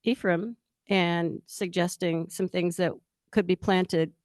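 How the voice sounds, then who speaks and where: A woman with a somewhat low voice explains her field research to colleagues. She speaks casually and pauses on fillers. The recording is dry, from a handheld mic in a meeting room. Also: steady tone, a little mellow, no room sound. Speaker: plant researcher. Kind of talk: meeting discussion. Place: conference room.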